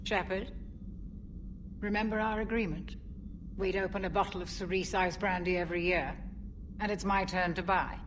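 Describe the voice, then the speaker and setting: An actress playing an older female officer, fond, formal and gently wry, in a video game. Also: mid-range voice, steady tone, normal speed, long pauses, background noise, lightly acted. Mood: playful.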